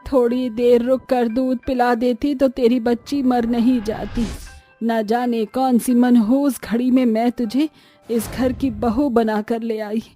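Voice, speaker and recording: Woman playing cruel scolding old mother-in-law, soft-edged tone. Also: a little high, flat delivery, measured pace, faint music behind, close to an everyday voice. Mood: bitter, scolding. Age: older. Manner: harsh, taunting, scolding. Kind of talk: dramatized Hindi family storybook cartoon.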